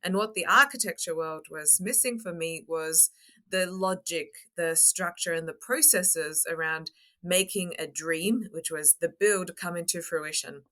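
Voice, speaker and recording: Deep-voiced, bright Australian woman being interviewed. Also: measured pace. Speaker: podcast guest, construction coach. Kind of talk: interview talk.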